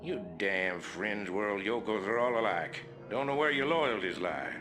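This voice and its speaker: An actor playing an arrogant, contemptuous military commander with a Southern US accent in a game briefing. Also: male voice, fairly low pitch, lively intonation, measured pace, music in the background. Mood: scornful. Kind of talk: video game briefing acting.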